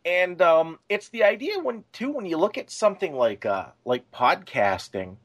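A man's voice: sits high, sing-song, normal speed. A man co-hosting a podcast, chatting casually in a rambling, colloquial way. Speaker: podcast co-host.

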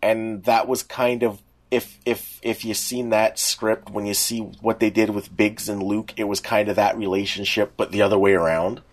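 Lower-voiced man in casual, halting chat. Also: little pitch movement. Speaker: podcast co-host.

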